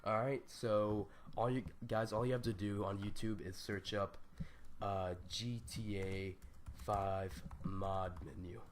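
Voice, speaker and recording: Young male tutorial host, lowish and scratchy from a stuffy nose, loose and rambling, full of slang. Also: fairly even intonation, slow pace. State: raspy, stuffed-up voice. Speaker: gaming tutorial youtuber. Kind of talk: casual screen-recorded tutorial.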